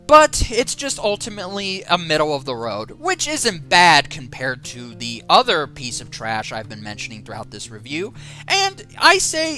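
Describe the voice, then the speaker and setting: A woman reviews a film in a casual, sarcastic rant, her pitch swinging widely as she gets worked up. Soft music plays faintly underneath. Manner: exasperated, sarcastic ranting. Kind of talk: casual online review commentary.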